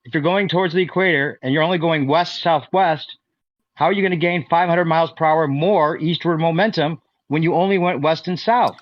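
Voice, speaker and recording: A man's voice: a little high, fairly quick, no room sound. A man in a heated voice-chat debate, pressing his opponent with repeated pointed questions. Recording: dull, dry lo-fi voice chat.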